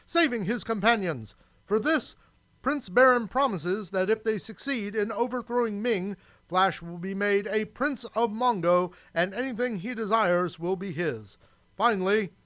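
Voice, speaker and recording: Male narrator for an adventure radio play, high-pitched voice, scripted delivery. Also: fairly bright tone.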